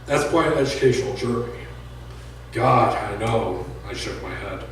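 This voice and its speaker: A deep-voiced man reads his own fiction to a live audience in a plain, unhurried way. His voice rings through a very echoey room. The mic sits some way off. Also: lively intonation, fairly bright tone, recorded from a distance, slight background noise. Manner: plain unhurried reading with joking asides to the audience. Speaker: author reading his own book. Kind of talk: live reading of prose fiction. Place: indoor live reading event.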